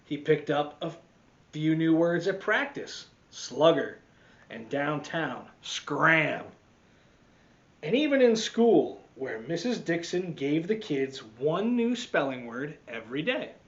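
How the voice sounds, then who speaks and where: A man reading a picture book aloud to children as a teacher, expressively, with dramatic swings and deliberate pauses. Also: fairly high pitch, big pitch swings, muffled recording. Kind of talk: animated children's storytime read-aloud.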